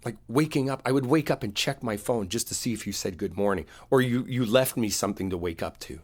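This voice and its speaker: A man playing an earnest, wounded boyfriend in an intimate roleplay, speaking in a halting, confiding way. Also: medium pitch, natural voice. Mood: fond, nostalgic.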